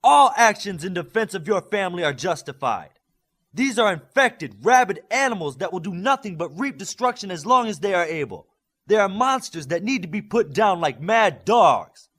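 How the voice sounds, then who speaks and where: A proud, fierce superhero warrior played by a male narrator speaks as an impassioned leader. The voice is raised high above an ordinary reading tone and pushes hard with forceful oratory, as in a dramatic audiobook. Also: sing-song, moderate pace, exaggerated voice. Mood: fierce, rallying. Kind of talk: audiobook character acting.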